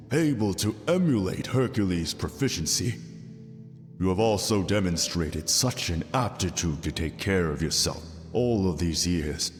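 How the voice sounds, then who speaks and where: A deep-voiced, fatherly old king of the gods played by a man addresses the listener in an audio roleplay. He speaks slowly and formally, with stately benevolence. His voice rings through a long reverberant hall over music. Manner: formal, stately, measured delivery. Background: soft background music.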